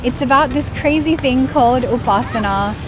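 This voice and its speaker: A young woman, raised in Australia and born in India, telling her spiritual story in an earnest, animated vlog, filmed outdoors on a street. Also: high voice, moderate pace, background noise. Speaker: vlogger. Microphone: camera filming herself. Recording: music clearly under the voice. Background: music.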